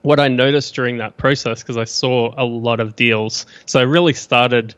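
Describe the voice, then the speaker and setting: A man in his thirties with an Australian accent mixed with a bit of New Zealand, explaining business calmly as a podcast guest. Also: medium pitch, moderate pace, no room sound. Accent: Australian with some New Zealand. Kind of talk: casual podcast interview.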